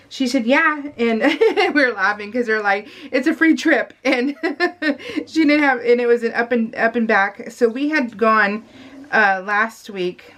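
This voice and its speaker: Chatty, higher-voiced middle-aged American woman. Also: a bit bright. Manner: rambling, self-interrupting, giggly. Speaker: vlogger. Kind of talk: casual chatty voice-over ramble.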